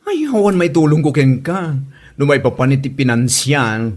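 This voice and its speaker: A woman acting a blunt, teasing friend with a husky voice in an amateur comedy drama. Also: extremely low pitch, animated, normal speed, a little mellow. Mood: blunt, grumbling.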